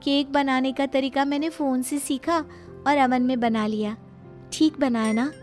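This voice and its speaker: Woman voicing a naive, high-pitched young bride. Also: steady tone, unhurried, a little mellow, faint music behind. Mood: puzzled, earnest. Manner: innocent, deferential, simple-minded questioning. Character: naive, earnest young village bride, eager to please. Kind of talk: animated Hindi moral story dialogue.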